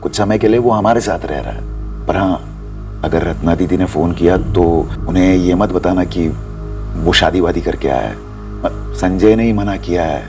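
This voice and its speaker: An actor playing a wary middle-aged uncle in a TV crime drama, familiar and protective in a grudging way. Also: male voice, low voice, unhurried, a little mellow, soft background music. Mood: worried.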